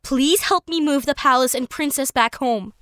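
Young fairy-tale boy hero done by a woman, squeaky-high, bright, eager, earnest. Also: moderate pace, no room sound, studio quality. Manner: earnest, excitable.

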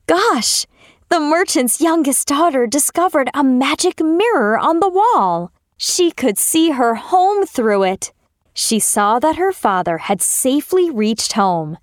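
A woman narrating a fairy tale for children as a storyteller, with expressive delivery. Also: sits high, slow, no echo, studio quality.

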